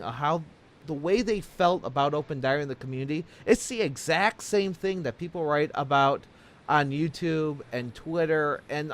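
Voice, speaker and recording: Male interviewer with a slightly high voice, chatty and informal, given to rambling opinions. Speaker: interviewer and online video creator. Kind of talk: casual conversational interview.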